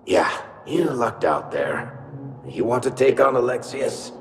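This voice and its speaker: An actor playing a wry, roguish dwarf in a video game, wisecracking and sardonic. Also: male voice, medium pitch, sing-song, unhurried, over music. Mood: grim, wry.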